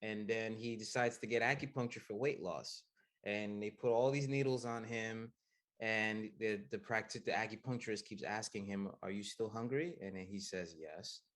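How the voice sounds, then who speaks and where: A man in his thirties from the United States, answering interview questions about his work as an acupuncturist in a soft-spoken way, with many pauses and fillers. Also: lowish voice. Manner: soft-spoken, many ums, laughs easily. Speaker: acupuncturist guest.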